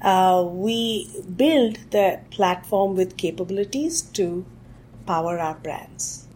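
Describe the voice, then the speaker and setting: Woman, tech exec guest, mid pitch, thinks out loud with lots of uh's. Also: slow pace, a little hiss or hum, poor audio. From India. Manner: thoughtful, with frequent "uh" fillers. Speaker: technology executive guest. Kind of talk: interview answers.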